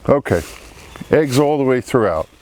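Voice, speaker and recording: A man with a cold and a froggy, congested voice, talking slowly through a beehive inspection outdoors among buzzing bees. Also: medium pitch, lively intonation, a little mellow, a little hiss or hum, low-quality recording. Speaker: beekeeper. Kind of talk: casual hive inspection commentary. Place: outdoor apiary.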